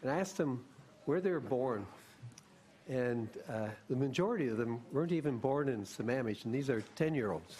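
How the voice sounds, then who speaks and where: A man chairing a city council meeting, inviting everyone around the table to speak in a loose, unhurried way with many fillers. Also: medium pitch, expressive, dark tone, slight background noise. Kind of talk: meeting small talk, running the table. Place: council chamber, table mics.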